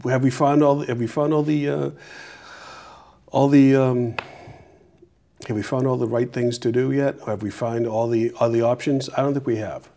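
A middle-aged man from Peru, a mayoral candidate answering interview questions in a small TV studio on a lapel mic, earnest and halting with restarts. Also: medium pitch, steady tone, mellow.

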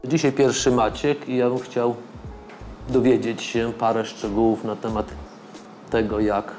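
A middle-aged man, a professor, speaking thoughtfully in an office interview and seminar, pausing and correcting himself. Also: medium pitch, flat delivery, darkish tone, soft background music. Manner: thoughtful, with pauses and self-corrections. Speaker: scientist and professor.

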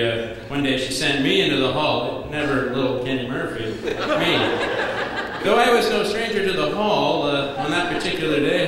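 Deadpan best man in echoey hall. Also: medium pitch, background noise. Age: 30s. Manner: dry comic storytelling, deadpan exaggeration. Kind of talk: wedding toast read from notes. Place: large banquet hall at a wedding reception. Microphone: podium microphone. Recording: echoey banquet hall with reverb. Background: guests laughing.

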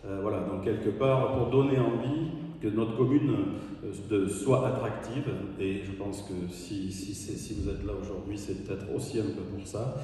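A man's voice: on the low side, fairly even intonation, normal speed, warm tone. A man speaking as mayor at a welcome ceremony, hesitant, with many fillers and restarts. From France. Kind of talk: welcome speech. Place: town hall ceremony room. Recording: echoey hall from far off.

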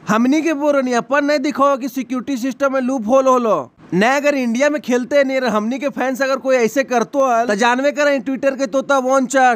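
A man dubbing comic lines over cricket press-conference clips, rambling and joking in a with a close mic. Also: very high-pitched. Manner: joking, rambling dialect banter. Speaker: comedy dubber.

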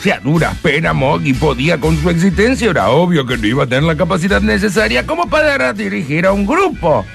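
Gravelly storyteller, theatrical, animated highish pitch, fond, radio comedy narration over music. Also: male voice, a little fast, hardly any pauses, exaggerated voice. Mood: wry. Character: storytelling relative fondly recalling family misadventures.

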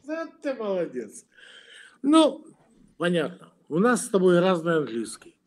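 A middle-aged man with a notably high voice argues over a webcam from a room at home. He is aggressive and mocking, swearing freely and throwing insults at the person he is talking to. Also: wildly swooping pitch, takes it slowly, long pauses, a bit bright. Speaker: video chat stranger. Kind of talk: heated argument. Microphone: webcam on a video call.

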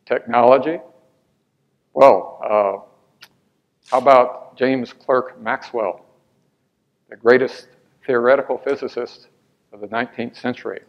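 Older man, lecturer, halting delivery, dull lo-fi sound, lapel mic. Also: mid-range voice, steady tone. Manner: hesitant, many fillers and restarts. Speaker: lecturer answering audience questions. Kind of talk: Q&A after a lecture. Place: large hall with stage.

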